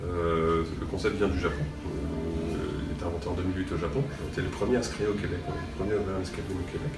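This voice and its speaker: A man's voice: low voice, fairly even intonation, creaky, roomy sound, far from the mic, over loud music. A young man from Montreal, explaining his escape game business in an interview, with many fillers. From Montreal, Quebec. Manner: fast, filler-heavy explaining. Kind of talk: promotional interview.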